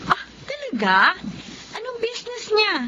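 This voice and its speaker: A woman's voice: very high voice, wildly swooping pitch, normal speed, exaggerated voice. An actress playing a curious, easily impressed young Filipino woman in a light television drama. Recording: dull audio with background crowd chatter.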